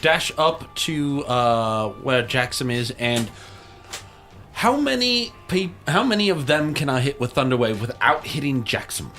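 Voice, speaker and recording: Animated man playing a tabletop game. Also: medium pitch, measured pace, soft background music. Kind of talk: casual game-table chat.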